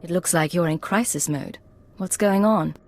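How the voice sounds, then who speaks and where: An actress playing a hardened, wary Imperial agent in a video game, controlled, direct and clipped. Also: female voice, lowish voice, normal speed, a little hiss or hum, lightly acted. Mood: cool, serious. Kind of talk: dramatic game dialogue.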